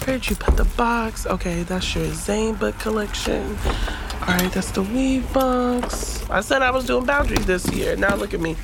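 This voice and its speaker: A woman acting in an audio drama as a sassy, guarded but soft-hearted young woman, with slangy, teasing, expressive delivery. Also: fairly high pitch, normal speed, gravelly, heavy background noise. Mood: exasperated.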